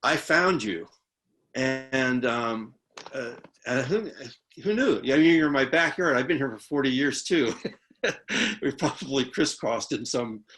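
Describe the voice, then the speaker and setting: Man, host, halting, stammering, restarts often, conversational. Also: mid-range voice, expressive, a bit bright. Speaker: host interviewer. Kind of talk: conversational interview.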